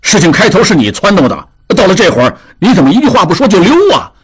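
A man's voice: sits high, sing-song, quick pace, husky, pitched above the performer's own voice, exaggerated voice. A man voicing an anxious middle-aged man who pleads and stammers.

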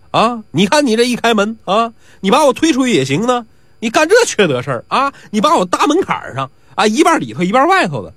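A man's voice: sits high, a bit bright, no room sound, heavily acted. A man voicing a sly old drunk who groans and complains about his back in a comic story.

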